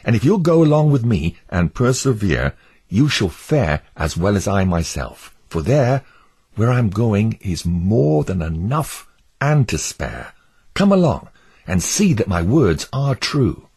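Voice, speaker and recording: A man voicing an earnest devout male pilgrim who pleads fervently with his neighbours, in a husky voice. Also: on the low side, sing-song, unhurried, darkish tone, voice pushed up, exaggerated voice. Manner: fervent, imploring.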